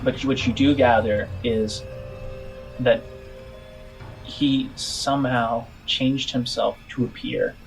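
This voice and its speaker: A man narrating as game master of a tabletop role-playing game, phrasing things haltingly and deliberately with pauses. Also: medium pitch, steady tone, vocal fry, low-quality recording. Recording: music behind the voice. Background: music.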